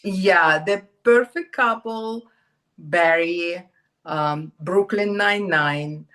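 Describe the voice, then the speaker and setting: Russian accent lady, bit on the low side, chatting in an interview like an actress telling set stories. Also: takes it slowly, fairly bright tone. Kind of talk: casual conversational interview.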